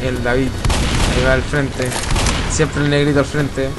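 A man's voice: medium pitch, flat delivery, moderate pace, heavy background noise. A man with a Chilean accent chatting casually with friends while gaming, slangy and sweary. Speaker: player recording a gameplay video. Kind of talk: casual game chat with friends. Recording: loud game sound behind voice.

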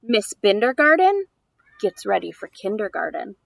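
A woman's voice: medium pitch, sing-song, moderate pace, creaky, fairly bright tone. A woman in her thirties speaking as a preschool teacher to young children, in an exaggerated sing-song read-aloud delivery. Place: outdoors on a house porch.

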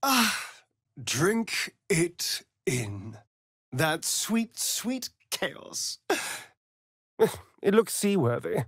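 A man's voice: sits high, sing-song, very slow, pauses often, a bit bright, slightly rough, no echo, studio quality, exaggerated voice. A male actor with an English accent voicing a sardonic, seductive, vain vampire rogue in a video game, with an arch, flamboyant drawl. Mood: arch, wry. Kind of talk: theatrical video game dialogue.

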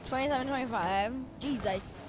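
A woman in her twenties chatting casually on a live stream, reading and answering chat with excitable bursts. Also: fairly high pitch, moderate pace, background noise. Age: 20s. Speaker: esports co-streamer. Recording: game music under the voice. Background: game music and car sounds.

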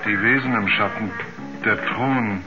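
Guy doing a dazed astronaut, low slow halting voice, old dull radio play sound. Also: over music, lightly acted. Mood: dazed, haunted. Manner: halting, repeating himself, dazed. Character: shaken, haunted male astronaut, halting and dazed. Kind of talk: 1970s German radio drama acting.

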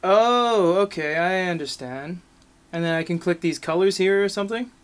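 A man's voice: sits high, normal speed, smooth. A man chatting casually and in an easygoing way as he demonstrates a product as video host.

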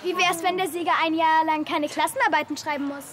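A woman's voice: very high voice, steady tone, fast talker, noisy background. An actress playing a cheeky, challenging teenage schoolgirl in a TV series. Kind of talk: TV series acting. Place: outdoor courtyard. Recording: outdoor location sound with ambience.

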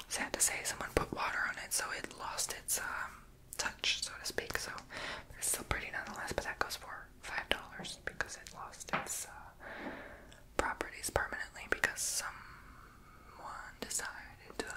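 A woman's voice: low-pitched, pushed and bright. A woman whispering in an ASMR roleplay as a chatty, quirky, sassy crystal shop saleswoman. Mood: informative, gentle. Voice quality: breathy whisper.

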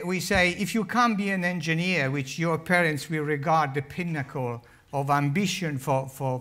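An older man with an Italian accent, a heart surgeon giving a public lecture at a lectern, telling wry stories with many fillers. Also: on the high side. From Italy. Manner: animated, wry storytelling with frequent uh fillers. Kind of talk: public lecture with humorous asides. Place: large lecture hall, standing at a lectern. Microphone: lectern microphone.

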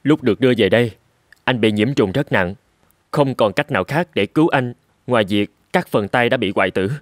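A man playing a calm army doctor, dry sound. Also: medium pitch, fairly quick, no echo, lightly acted. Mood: reassuring. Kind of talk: cartoon dubbing.

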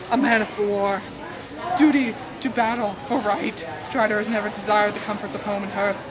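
A woman's voice: medium pitch, low-quality recording. A woman in her twenties giving a playful, theatrical dramatic reading of a romance novel, with amused, mocking asides. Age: 20s. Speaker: amateur vlogger reading aloud. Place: indoors among book aisles of a store or library. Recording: busy public space with loud crowd murmur.

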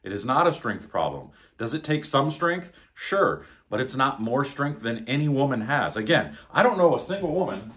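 A man in his thirties coaching bowling technique at home, talking bluntly and emphatically as he demonstrates. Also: medium pitch. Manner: blunt, emphatic, exasperated at bad advice. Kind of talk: instructional vlog demonstration. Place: living room at home, indoors. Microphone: camera on tripod, close then several meters away. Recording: echoey room.

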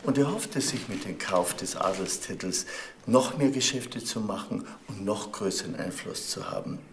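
An actor playing a smug, self-assured dealer in noble titles in a German crime radio drama. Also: male voice, fairly low pitch, animated, moderate pace. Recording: faint noise with clicks.

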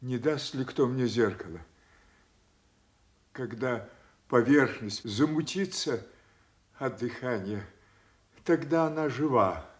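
Actor as slow, grieving old king. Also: medium pitch, natural voice. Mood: anguished grief. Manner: declamatory, halting, anguished. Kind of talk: classical stage tragedy acting for radio.

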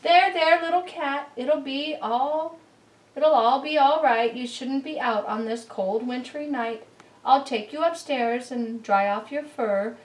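A woman voicing a kindly, gentle old woman in a storybook, soothing and coaxing. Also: sits high, measured pace, pitched above the performer's own voice. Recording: roomy, distant camera microphone.

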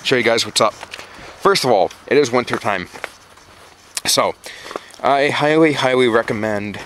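A man's voice: mid-range voice, a little slow, fairly bright tone. A man giving casual, informal how-to vlog talk, stressing points by repeating them, recorded on a handheld camera. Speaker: backyard chicken keeper and vlogger. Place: outdoors in a yard and inside a small wooden coop. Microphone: handheld camera, operator behind it. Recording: noisy handheld camera audio.

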